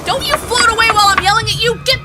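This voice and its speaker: A woman's voice: extremely high pitch, very fast, loud music behind the voice, a loud crowd. A woman playing a feisty, forthright young heroine who scolds indignantly in a fantasy audio drama. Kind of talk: full-cast fantasy audio drama acting.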